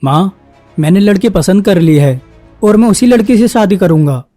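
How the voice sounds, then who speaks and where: Woman doing a young married guy in a cartoon, earnest, mellow mid voice, faint music behind. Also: medium pitch, animated, moderate pace, lightly acted. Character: dutiful adult son and husband, young man. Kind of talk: Hindi cartoon story voice acting.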